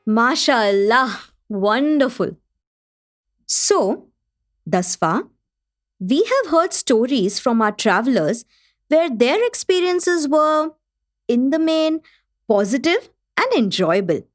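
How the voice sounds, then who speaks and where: An actress playing the gracious host of a literary gathering in a radio play, formal and appreciative. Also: female voice, high voice, very animated, slow pace, pauses often, full character voice. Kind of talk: scripted radio play narration.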